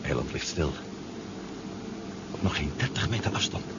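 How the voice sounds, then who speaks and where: An actor playing a nervous but determined man on a deep-sea spy mission in a 1970s radio drama, anxious and questioning. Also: big pitch swings, moderate pace. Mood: tense, subdued. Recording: dull, noisy 1970s radio recording.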